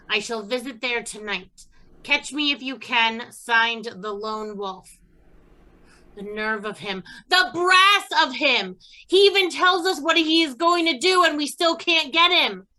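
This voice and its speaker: A woman's voice: sits high, low-quality recording. A woman playing a stern, exasperated police chief with a commanding manner in an old-time radio crime drama. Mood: indignant. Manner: forceful, commanding, indignant.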